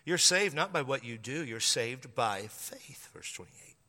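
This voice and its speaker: A man's voice: medium pitch, expressive, measured pace, sharp tone. A man preaching a sermon as pastor of his church, driving points home with emphatic repetition. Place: church sanctuary. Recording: long reverb of a hall.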